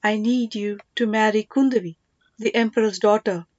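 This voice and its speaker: A woman voicing a gentle, devout queen mother in a storybook, measured and solemn. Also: mid-range voice, fairly even intonation, highs cut off, close to an everyday voice. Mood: solemn pleading.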